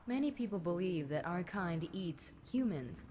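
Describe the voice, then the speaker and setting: A woman plays a centuries-old demon girl with a slightly low voice and an unhurried, slow delivery. She is chatty, smug and teasing, speaking casually to the listener as in an audio roleplay, over clearly audible background noise. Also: expressive, a little mellow. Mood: laid-back. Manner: casual, teasing, conversational with light chuckles.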